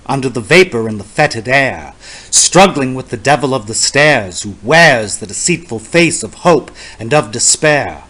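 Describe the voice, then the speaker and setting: A man giving a dramatic poetry reading in a solemn, deliberate, theatrical and incantatory manner. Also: mid-range voice, pushed and bright, rough recording. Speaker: poetry reader.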